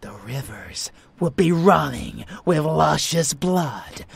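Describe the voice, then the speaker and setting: A man voicing an unhinged, fanatical vampire lover in dark romance ASMR roleplay, theatrical and menacing yet doting, husky and often dropping to a whisper. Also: a little high, lively intonation, dry recording, heavily acted. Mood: grandiose, menacing. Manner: theatrical, savoring words, slow with dramatic pauses.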